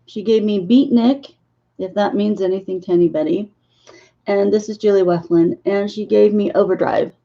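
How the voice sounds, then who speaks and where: A woman in her fifties, hoarse and losing her voice, talking haltingly about her dream visions as a psychic in a casual monologue to camera. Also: medium pitch, warm tone, dull audio. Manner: halting, with many filler pauses.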